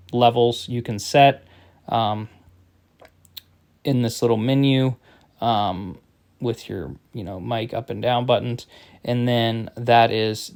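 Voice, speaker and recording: A man demonstrates a product in an informal, step-by-step tutorial. His mid-pitched voice has plenty of vocal fry, and he explains things in a halting, matter-of-fact manner. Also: a little mellow.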